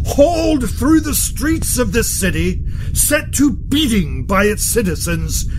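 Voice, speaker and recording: Man acting a high-voiced, slow, stern military judge. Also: noisy background, full character voice. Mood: cold, condemning. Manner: formal, declamatory, slow and deliberate oratory. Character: stern, pompous male court-martial judge, coldly condemning. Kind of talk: audio drama acting.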